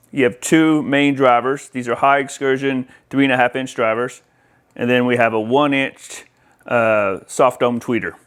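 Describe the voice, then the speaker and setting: A man's voice: mid-range voice, clear, resonant tone. A man presenting a product demonstration in a casual, explanatory way, speaking directly to viewers. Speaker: presenter for an audio-video installer.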